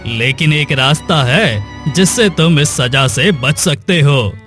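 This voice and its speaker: A man acts a stern king on his throne in a cartoon moral tale. He speaks slowly and imperiously, with music clearly playing behind him. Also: fairly high pitch, animated, a bit bright. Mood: accusing, grave. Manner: imperious, accusing. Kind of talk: dramatised moral story for cartoon.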